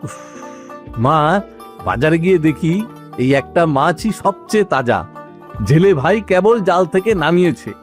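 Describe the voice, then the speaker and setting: Man acting an eager young son-in-law, lively pitch. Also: moderate pace, pauses often, lightly acted. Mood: pleasing, affectionate. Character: eager, affectionate young son-in-law visiting his village in-laws. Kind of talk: dubbed cartoon family story dialogue. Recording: music behind the voice.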